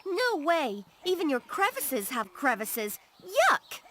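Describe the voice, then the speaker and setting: A woman's voice: sits high, sing-song, slow, fairly bright tone, a little hiss or hum, full character voice. Blunt, mocking young woman. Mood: teasing. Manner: blunt, teasing. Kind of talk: video game cutscene acting. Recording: game audio with music behind.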